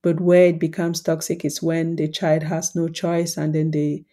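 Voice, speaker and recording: A woman with a low voice talks calmly and thoughtfully to her podcast listeners. She keeps her tone warm and mellow and her pitch even, with little rise or fall. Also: moderate pace. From Cameroon. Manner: calm, reflective, explanatory. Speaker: podcast host and coach. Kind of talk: solo podcast talk.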